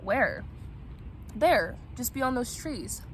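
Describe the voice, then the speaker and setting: A woman's voice: fairly high pitch, sing-song, drawn-out words. A woman speaking in a playful, casual way as a vlog host, chatting and reading aloud. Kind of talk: casual vlog chat and novel read-aloud. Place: outdoors at a picnic spot. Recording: noisy outdoor recording with traffic ambience.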